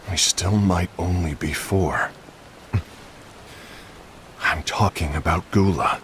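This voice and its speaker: A man's voice: low-pitched, a little hiss or hum, exaggerated voice. An actor voicing a blunt, resentful male warrior in a video game cutscene. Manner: frank, bitter. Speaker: game character.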